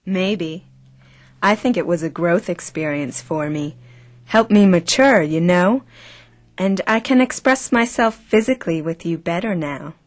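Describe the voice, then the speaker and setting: A woman's voice: fairly low pitch, lively intonation, measured pace, no room sound. A woman playing an arrogant, seductive clone in an audio drama, wordy and taunting in manner. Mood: coy, self-assured.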